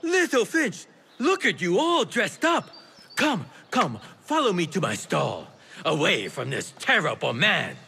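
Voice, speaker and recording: An actor playing a wily, affectionate old tea merchant in a video game, with a theatrical, teasing, exclamatory manner. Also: male voice, sits high, sing-song, unhurried, gravelly, bright, forceful tone, slightly rough, full character voice. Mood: delighted, effusive. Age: older. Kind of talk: video game character acting.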